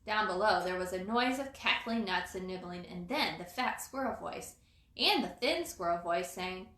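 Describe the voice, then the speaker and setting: A woman reading a children's storybook aloud as storyteller, in an expressive, dramatizing manner. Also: fairly low pitch, normal speed. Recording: roomy echo.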